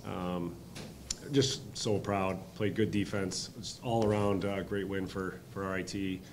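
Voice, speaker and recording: A man in his thirties, a head coach answering postgame press questions at a table mic, halting with many ums and uhs. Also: low-pitched, flat delivery, background noise. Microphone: table mic on stand.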